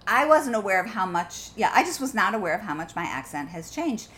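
A middle-aged woman from the Midwest with a mostly neutral American accent, chatting warmly with her grown daughter at home. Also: mid-range voice, big pitch swings, fairly quick. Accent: neutral American, faint Midwestern. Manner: warm, chatty, laughs easily. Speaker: mother of the co-host. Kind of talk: casual chat. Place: apartment.

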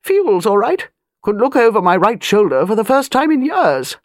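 A woman's voice: fairly high pitch, big pitch swings, normal speed, no room sound, clean studio sound, pitched above the performer's own voice. A woman voicing an imperious, chatty elderly English aristocrat in clipped, emphatic phrases for an audiobook. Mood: blunt, pleased. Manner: clipped, dropped-subject, emphatic speech.

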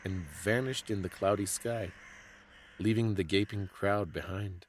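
A man giving a dramatic, scripted story reading in a measured, solemn and theatrical way. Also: on the low side, fairly even intonation, a little hiss or hum.

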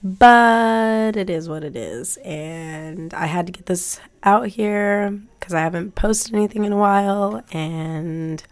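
A college student in her twenties talking casually to her viewers with a hoarse, raspy voice that sounds like a smoker's, rambling with lots of "like.". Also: mid-range voice, slow pace, hardly any pauses. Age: 20s. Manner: rambling, says "like" often. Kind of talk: casual talk to camera.

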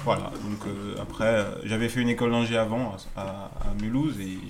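A man in his thirties, originally from Alsace, answers interview questions as a guest artist. His voice sits on the low side, and he tells his stories in an easygoing way, full of fillers. A faint hiss runs behind him. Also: fairly quick. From Alsace, France. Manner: relaxed storytelling, frequent fillers. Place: apartment.

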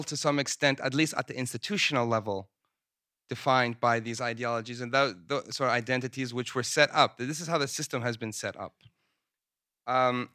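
A man presenting his research at a panel in a conference room, speaking in a measured way with frequent ums. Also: mid-range voice, bright, forceful tone, no echo, clean studio sound. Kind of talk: academic talk at a panel. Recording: poor sound quality.

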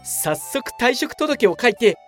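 A woman's voice: on the high side, sing-song, slow pace, faint music behind. A woman playing a cocky, clueless young male office worker in a comedic radio drama, theatrical and self-satisfied. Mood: excited scheming.

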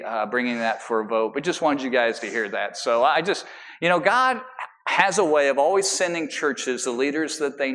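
A man's voice: medium pitch, a bit bright, long reverb tail, low-quality recording. A man pastor giving a conversational sermon with rambling asides and everyday illustrations, recorded in a church sanctuary.

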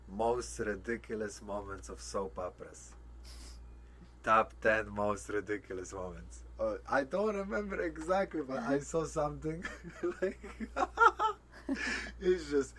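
A man's voice: on the low side, slight background noise. A young man from Poland, rambling casually and swearing now and then. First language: Polish. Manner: relaxed, rambling, frequent swearing. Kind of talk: casual chat.

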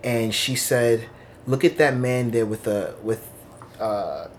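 A man in his thirties from Long Island tells his life story in an oral history interview, candid and reflective. His voice sits at an everyday middle pitch, with a faint hiss of background noise in the office. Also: slow. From Long Island, New York. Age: 30s. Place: office indoors.

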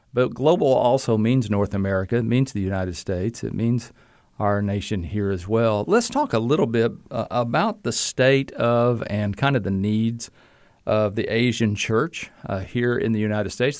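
A man's voice: on the low side, a little mellow, no echo, clean studio sound. A man hosting a podcast interview as a seminary professor, talking in a friendly, easygoing way and often restarting his phrases.

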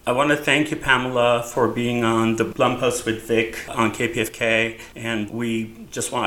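A man's voice: on the low side, bright, forceful tone. A man hosting a radio interview, giving brief affirming replies and reading station plugs. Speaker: radio host.

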